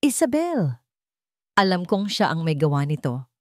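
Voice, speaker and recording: Mapagtanggol at mainiting lalaki, ginagampanan ng babae. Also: female voice, fairly low pitch, big pitch swings, measured pace, no echo, studio quality. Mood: outraged. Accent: Filipino. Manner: intense, commanding. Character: protective, hot-tempered man, fiercely indignant.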